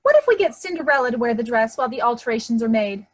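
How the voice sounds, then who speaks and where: A woman's voice: fairly high pitch, very animated, rapid-fire. A woman playing a haughty, imperious stepmother who scolds and coos in a children's theatre staged reading. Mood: doting, fussy. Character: haughty, bossy stepmother, doting on her favourite daughter.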